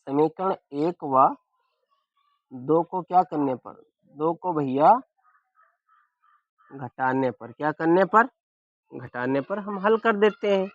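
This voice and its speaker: Male teacher with a scratchy sore throat doing a maths class, kinda high voice, flat dull dry sound. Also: slow, pauses often, no echo. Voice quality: hoarse. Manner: keeps asking "clear?" and "any problem?". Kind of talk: blackboard maths lesson.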